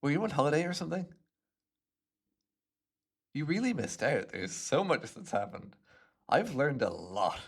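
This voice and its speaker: Smug, animated supervillain played by a man. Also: on the high side, creaky, breathy edge, full character voice. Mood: pleased, musing. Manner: playful, smug, unhurried musing with little chuckles. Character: smug chatty imprisoned supervillain, a telepathic hypnotist. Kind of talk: ASMR roleplay monologue addressed to the listener.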